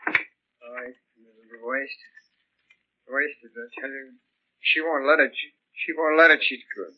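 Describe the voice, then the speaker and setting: An actor playing a deranged, lovesick man in a hospital bed, rambling and repeating himself haltingly in a 1940s radio drama. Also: medium pitch, steady tone. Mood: dazed, weary. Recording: dull lo-fi 1940s radio recording.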